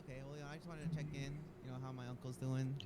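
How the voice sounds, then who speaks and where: A man's voice: mid-range voice, steady tone, racing, very noisy, lightly acted. A man playing a casual, slightly uneasy prison visitor in an improvised online role-play, speaking hesitantly. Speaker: role-player in an online game. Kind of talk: improvised in-character chat.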